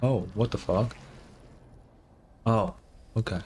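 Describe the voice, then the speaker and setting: A man's voice: on the low side, slow, a little mellow, soft background music. A man giving casual, terse reaction commentary as a game streamer, with offhand interjections.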